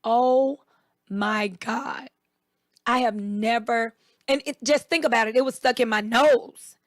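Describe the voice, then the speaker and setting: Woman, raspy, slightly hoarse, higher voice, lively storytelling, dry close sound. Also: slow, dry recording. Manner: animated storyteller, quotes people in raised voices. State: recovering voice, a bit hoarse. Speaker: co-host. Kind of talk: casual chat.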